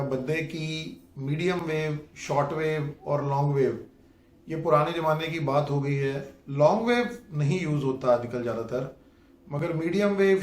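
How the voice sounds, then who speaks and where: A man presents in an earnest, insistent way, as if making a case to officials. His voice sits a little high and he takes his time, very slowly, dropping in English terms. The recording is noticeably roomy and echoing. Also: a little mellow. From India. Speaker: radio enthusiast YouTuber and presenter. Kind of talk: demonstration vlog addressed to officials.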